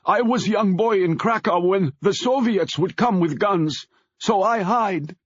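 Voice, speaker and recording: A man voicing a timid, tearful Polish immigrant man who speaks halting broken English with a Polish accent, in an audiobook. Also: sits high, husky, dry recording, lo-fi sound, pitched above the performer's own voice. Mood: shaken.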